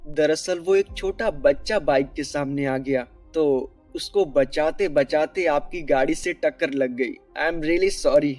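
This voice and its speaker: A man playing a polite, respectful young man in an animated story drama, with music behind him. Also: fairly high pitch, slow, hardly any pauses. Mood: apologetic. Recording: background music over the voice.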